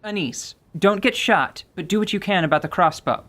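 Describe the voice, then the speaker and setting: A man's voice: sits high, normal speed, a bit bright, voice pushed up. A man voicing a composed, commanding female devil warrior in a fantasy radio drama. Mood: urgent, commanding.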